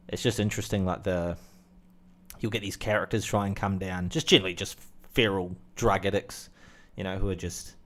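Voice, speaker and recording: A young man with a New Zealand accent, rambling through a casual monologue with lots of fillers and asides. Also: lowish voice. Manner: chatty, full of fillers and asides. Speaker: vlogger.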